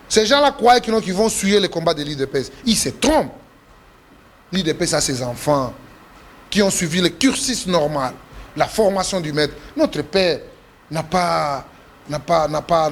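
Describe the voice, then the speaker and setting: A Congolese politician being interviewed, speaking French with a Congolese accent, forcefully repeating and stressing his points, his voice rising when indignant. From Democratic Republic of the Congo. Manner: emphatic, repeats himself, pitch jumps when indignant. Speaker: politician guest.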